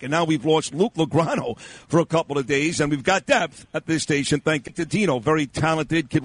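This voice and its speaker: Brash talk radio host. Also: male voice, a little high. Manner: brash, joking, rapid asides. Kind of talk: talk radio chat and sports updates.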